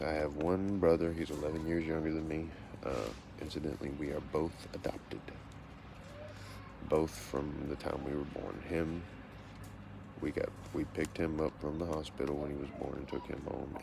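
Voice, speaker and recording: A man in his forties from Texas with a deep voice, reading out questions and answering them plainly in a casual self-interview. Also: vocal fry. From Texas, USA. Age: 40s. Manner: reads each question, then answers plainly and matter-of-factly. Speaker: vlogger answering a question tag. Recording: noisy home recording.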